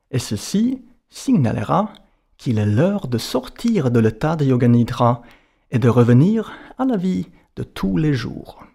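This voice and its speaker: A man guiding a relaxation as a yoga and meditation teacher, giving measured instructions in short, separated phrases. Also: medium pitch, creaky, warm tone. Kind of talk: guided relaxation narration.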